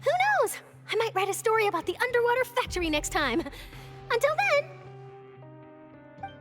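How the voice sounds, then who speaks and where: A woman playing an eager, polite young female reporter with a chatty, expressive manner in an anime-style video game. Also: very high voice, normal speed, faint music behind, exaggerated voice. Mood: cheerful. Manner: bright-spirited, chatty, expressive.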